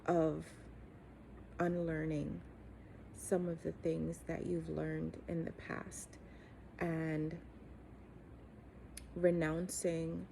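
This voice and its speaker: A middle-aged woman giving an earnest, encouraging, faith-based motivational talk, speaking deliberately with frequent pauses. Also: on the low side, gravelly, dark tone, slight background noise, poor audio. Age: 40s or 50s. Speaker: coach and host giving encouragement.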